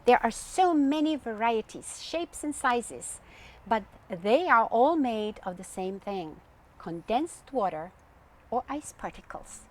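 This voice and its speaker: Woman voicing a slow, patient storybook uncle. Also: a little high, animated, darkish tone. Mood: patient, instructive. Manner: patient, explanatory, deliberate. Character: kindly, knowledgeable uncle, a storybook meteorologist.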